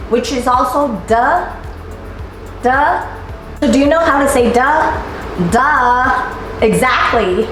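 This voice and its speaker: A woman in her thirties teaches sounds at home with a bright, playful energy and clear repetition. Her voice sits high. The room is very echoey, and soft music plays underneath. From Taiwan. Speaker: Mandarin teacher. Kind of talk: scripted language lesson. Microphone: camera at a distance, seated facing it.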